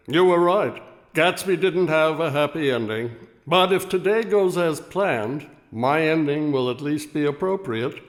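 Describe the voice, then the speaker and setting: A man playing a courteous, resigned letter writer in a radio drama, speaking his letter in a measured, formal way. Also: medium pitch, natural voice. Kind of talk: radio drama acting, letter read in voice-over. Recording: long echoing reverb.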